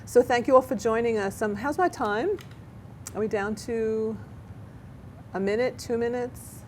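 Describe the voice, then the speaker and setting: A woman's voice: on the high side, unhurried, a little hiss or hum. Chatty, stumbling woman talk show host. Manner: chatty, animated, stumbles over words. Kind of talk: casual local TV interview chat. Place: local cable TV studio.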